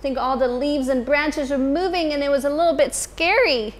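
A woman in her thirties, a teacher, reading a picture book to children in an expressive storytime manner in a school library. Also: sits high. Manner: slow, expressive, addressing children.

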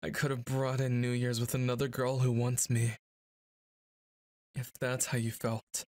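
A man's voice: mid-range voice, monotone, unhurried, darkish tone, dry recording, studio quality. A man performing a boyfriend ASMR roleplay, speaking to an unseen listener in a moody, dramatic way.

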